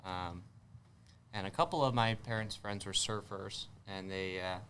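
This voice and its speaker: A man's voice: deep voice, a little slow, fairly bright tone, slight background noise. A man in his twenties from south Texas with an American accent, chatting casually and hesitantly as an interview guest. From south Texas, United States. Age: 20s. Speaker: guest, spearfisher.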